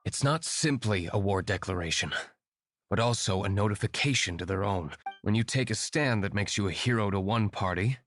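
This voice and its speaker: An actor playing a calm, aloof young winery owner who works as a secret vigilante, measured and reserved. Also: male voice, fairly low pitch, no room sound. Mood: cool. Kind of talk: game voice acting.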